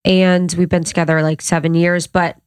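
Stuffed up young woman on a podcast, chatty, lowish voice, dry close mic. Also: flat delivery, normal speed, a little mellow, clear, resonant tone, no echo. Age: young adult. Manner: chatty, laughing, swears casually. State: stuffed up, congested. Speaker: podcast co-host. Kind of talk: casual chat.

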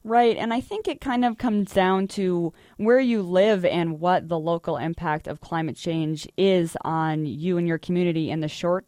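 An American woman working as a reporter, with a slightly low voice. She explains things conversationally, as in a news interview, and the sound is very dry. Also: a little mellow, dry recording. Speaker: energy reporter.

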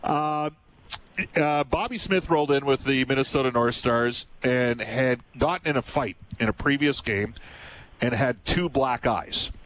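A man's voice: medium pitch, unhurried, fairly bright tone, rough recording. A man from Canada hosting a casual chat, telling rambling anecdotes full of fillers. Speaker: host.

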